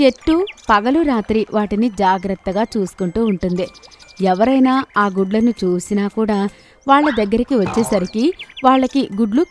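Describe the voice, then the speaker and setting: A woman narrating a children's animated moral story in a gentle, steady storytelling voice. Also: medium pitch.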